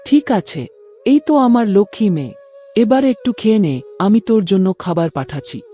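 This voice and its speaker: A woman as a mellow, affectionate motherly elder. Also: medium pitch, big pitch swings, moderate pace, faint music behind, natural voice. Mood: tender, encouraging. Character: affectionate, reassuring middle-aged mother-in-law, gently scolding. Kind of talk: cartoon story voice acting.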